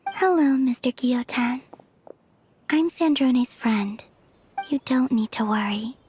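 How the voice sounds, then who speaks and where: Gentle, guileless young woman for a game; airy high voice, blunt but innocent delivery. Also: takes it slowly, slight background noise. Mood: tender. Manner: naive, candid, gentle. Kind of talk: animated game voice acting.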